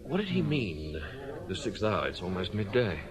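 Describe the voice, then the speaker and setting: An actor playing a bluff, puzzled village doctor in a British radio drama, blustery and full of exclamation. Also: male voice, deep voice, very animated, moderate pace, a crowd in the background.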